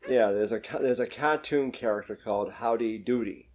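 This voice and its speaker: A man's voice: mid-range voice, normal speed, a little mellow. An older man hosting a casual local talk show, chatting in a rambling way with frequent restarts. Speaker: host. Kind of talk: casual chat.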